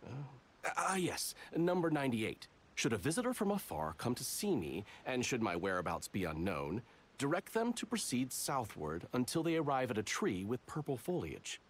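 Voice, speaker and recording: An actor playing a dutiful, rule-bound soldier in a video game, speaking formally and carefully. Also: male voice, mid-range voice, wildly swooping pitch, normal speed, slightly rough. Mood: officious. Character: dutiful male soldier, formal stickler for written rules. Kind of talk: video game character acting.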